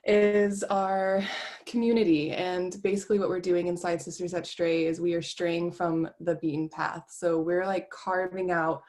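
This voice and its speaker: Earnest lower-voiced woman coach. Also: level tone, moderate pace, a little mellow. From Florida, US. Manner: earnest, reflective, uses filler "like". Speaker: meditation coach. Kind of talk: online workshop presentation.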